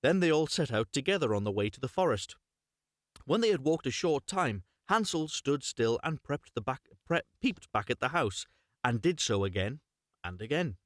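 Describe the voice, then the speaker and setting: A man narrating a storybook live and unrehearsed, in a measured storytelling manner with occasional stumbles. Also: mid-range voice, big pitch swings, fairly bright tone, no room sound, clean studio sound. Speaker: storyteller, voice-over reader.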